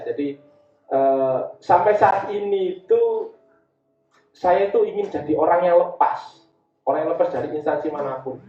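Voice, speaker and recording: A man with an East Javanese Indonesian accent giving an informal talk as a guest speaker, telling personal anecdotes in a conversational way and repeating phrases. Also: fairly high pitch. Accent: Javanese Indonesian, East Java. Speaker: guest speaker, community education founder. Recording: dull, echoey, distant from the mic.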